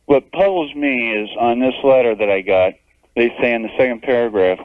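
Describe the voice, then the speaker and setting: A man's voice: medium pitch, a little fast, dry recording. A man phoning a radio show as a listener, talking casually over the telephone. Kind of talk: casual phone call. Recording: narrow muffled phone line on radio.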